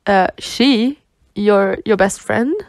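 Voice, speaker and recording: Woman acting a slow-talking, protective twin sister. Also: mid-range voice, darkish tone, no room sound, studio quality. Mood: puzzled. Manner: sweary, sighing, half-muttering. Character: protective, exasperated, foul-mouthed twin sister, tender toward her girlfriend. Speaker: roleplay voice actor. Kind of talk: ASMR roleplay acting.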